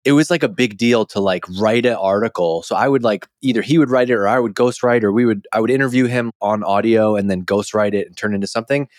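Man, lower-pitched voice, dry close sound, informal podcast host. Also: quick pace, few pauses, no room sound. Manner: informal, colloquial, salty. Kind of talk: casual interview chat and scripted ad read.

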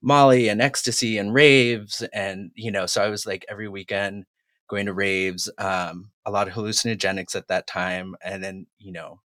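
A candid man in his forties from New England speaks with a lowish, grounded voice in a dry, close setting. He reflects on the past at an unhurried pace and often pauses on small fillers as he gathers his thoughts. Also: fairly even intonation, fairly bright tone, no echo. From Massachusetts, New England. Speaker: guest telling his story. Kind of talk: recorded personal story.

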